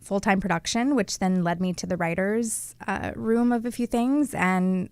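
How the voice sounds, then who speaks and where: A woman in her thirties with an American accent, chatting casually as an interview guest and telling her own story with animated quoted asides. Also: medium pitch, normal speed, smooth, dry recording. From Atlanta, southern United States. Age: 30s.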